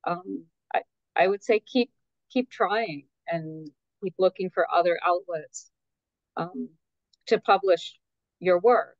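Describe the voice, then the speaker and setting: Lowish female voice on a dull, dry connection. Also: takes it slowly, pauses often, no room sound. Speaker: guest editor. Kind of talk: conversational interview advice.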